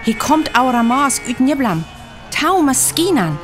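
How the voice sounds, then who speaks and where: An actress playing a grave radio reporter at a disaster, with a North Frisian accent, in a radio drama. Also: female voice, high-pitched, sing-song, a little slow, over music. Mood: alarmed, urgent. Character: grave female radio reporter witnessing a Martian invasion.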